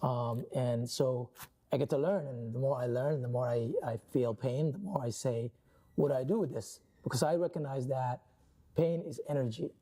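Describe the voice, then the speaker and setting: Man from Somalia as a guest author, earnest and halting, very dark, mellow voice. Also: mid-range voice. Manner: earnest, halting, many restarts. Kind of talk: interview conversation.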